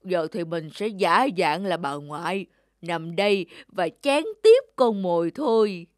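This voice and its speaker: Woman acting a sly, greedy cartoon wolf, lively pitch. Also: no room sound, studio quality. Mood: scheming. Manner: scheming, gloating, drawn-out coaxing. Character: sly, greedy big bad wolf stalking prey. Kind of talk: children's fairy-tale cartoon dub. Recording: music under the voice.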